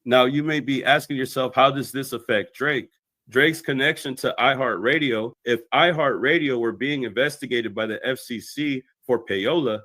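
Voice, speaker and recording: Man, video commentator, scripted explanatory delivery, mid-pitched voice, dry sound. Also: bright, forceful tone, dry recording.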